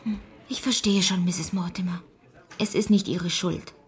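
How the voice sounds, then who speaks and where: A woman's voice: lowish voice, steady tone, measured pace, slightly rough. A young-sounding woman playing an earnest, loyal, politely stubborn teenage girl in a German radio drama.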